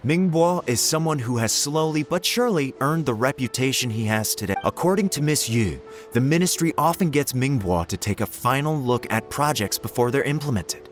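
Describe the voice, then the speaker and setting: Man playing animated, earnest young assistant. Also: mid-range voice, moderate pace, few pauses, faint music behind, lightly acted. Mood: earnest reporting. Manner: earnest, expressive. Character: earnest, dutiful, easily exasperated young male assistant. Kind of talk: video game voice acting.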